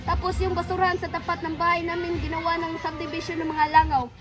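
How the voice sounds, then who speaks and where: A woman's voice: extremely high pitch, monotone, fast talker. A woman playing an indignant, nagging neighbourhood resident in a student skit, with a Filipino accent and a whiny, exasperated delivery. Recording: music loud behind the voice.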